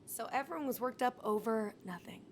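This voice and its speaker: High-voiced woman streamer, wry and informal. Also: expressive, brisk, a little hiss or hum. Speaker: game streamer. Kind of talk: casual live game commentary.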